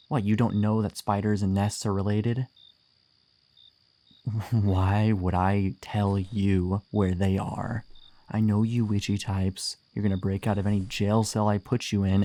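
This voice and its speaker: Guy playing a gruff flirty monster hunter, lowish voice, wry and teasing, fantasy roleplay asmr. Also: mellow. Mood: grim, vengeful. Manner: teasing, wry, swearing, sighing.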